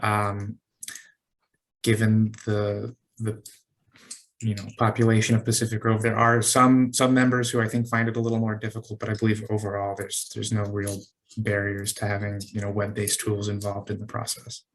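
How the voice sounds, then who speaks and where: A man's voice: on the low side, level tone, moderate pace, low-quality recording. A man working as a city staffer, answering questions on a conference call in a careful, hesitant way. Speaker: city planning staffer, committee liaison.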